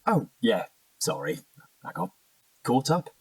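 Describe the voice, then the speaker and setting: A man's voice: fairly high pitch, slow, gravelly, a little mellow, slightly rough, dry recording, far from the mic, full character voice. An actor playing an evasive, defensive young British man in a naturalistic audio drama, hesitant and slangy. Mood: sheepish, awkward.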